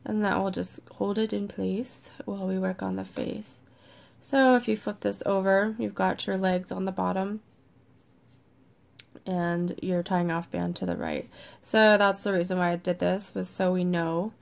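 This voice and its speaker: A woman with a croaky, congested voice leads a step-by-step craft tutorial in a relaxed, chatty way, sometimes dropping to a near-whisper as she counts stitches. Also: medium pitch, measured pace. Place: indoors at a worktable, overhead camera on hands.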